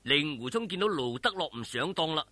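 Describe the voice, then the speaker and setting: A man's voice: on the high side, animated, fairly quick, sharp tone, no room sound. A man with a Hong Kong Cantonese accent telling a martial arts story in a theatrical, colloquial, suspenseful style.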